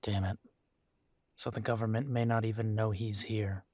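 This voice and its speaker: A man's voice: fairly low pitch, flat delivery, moderate pace, darkish tone, no room sound, clean studio sound, natural voice. A man playing a protective new werewolf pack leader in an audio roleplay, speaking earnestly and with some hesitation. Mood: worried, resolute.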